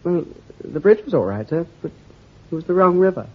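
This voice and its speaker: A man's voice: on the high side, sing-song, a little fast. An actor in his twenties playing a bumbling, nervous young army lieutenant who stammers and says sir constantly. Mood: flustered. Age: 20s. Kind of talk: radio drama acting. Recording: dull lo-fi radio recording.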